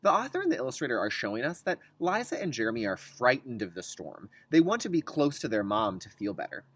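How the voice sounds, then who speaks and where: Very expressive kindergarten teacher. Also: medium pitch, fast talker, slightly rough, no room sound. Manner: instructive, repeats key phrases, simple wording. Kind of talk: read-aloud lesson for young children.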